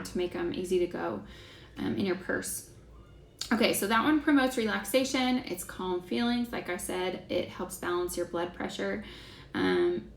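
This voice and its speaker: A woman's voice: mid-range voice, creaky. A young woman hosting a casual class, talking in a chatty, enthusiastic way with lots of fillers. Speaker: essential oils class host. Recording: echoey room, faint background hiss.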